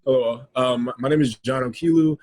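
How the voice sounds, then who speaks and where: A young college student from Texas introducing himself eagerly, a little hesitant, on a video call. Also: male voice, mid-range voice, fairly even intonation, moderate pace, a little mellow. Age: young adult.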